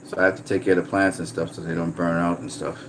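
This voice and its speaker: Low-voiced Trinidadian man chatting on stream. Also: fast talker, a little hiss or hum. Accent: slight American-sounding Trinidadian. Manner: relaxed, reads out viewer comments. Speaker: vlogger. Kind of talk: casual livestream chat with viewers.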